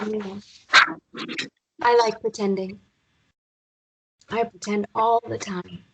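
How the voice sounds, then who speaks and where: A woman's voice: medium pitch, flat delivery, slow pace, darkish tone, rough recording. A woman playing a caring, wry mother of young boys in a read-through of a romance drama. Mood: tender, reassuring. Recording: clear background noise, occasional clicks.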